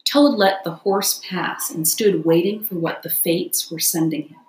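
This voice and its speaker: A woman reading a children's classic aloud as expressive storybook narration. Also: lowish voice, a little slow, echoey room, low-quality recording. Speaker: audiobook reader.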